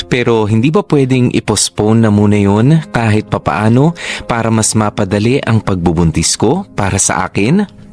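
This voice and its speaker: Low-voiced man narrating a sentimental story. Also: darkish tone. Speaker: narrator reading a letter. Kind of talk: dramatic scripted narration for Filipino radio drama.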